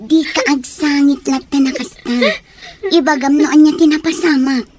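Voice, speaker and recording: A Filipino actress playing a concerned, exasperated woman friend in a radio soap, expressive and full of questions, with a Filipino accent. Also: sits high, normal speed, noisy background, lightly acted. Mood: shocked, exasperated.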